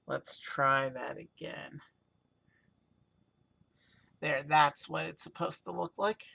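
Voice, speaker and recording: A hoarse man with a raspy voice casually narrating a toy car build on a stream, rambling with many fillers. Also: a little high, fairly even intonation, slow, sharp tone. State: hoarse from overuse. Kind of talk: casual live-stream commentary.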